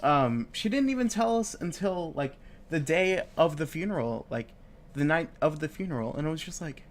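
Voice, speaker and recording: A man's voice: fairly high pitch, lively intonation, moderate pace, a little hiss or hum. Sniffly young man swearing through casual chat. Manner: rambling, heavy swearing, many fillers. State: sniffly from a cold. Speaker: podcast co-host. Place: home studio, live-streamed.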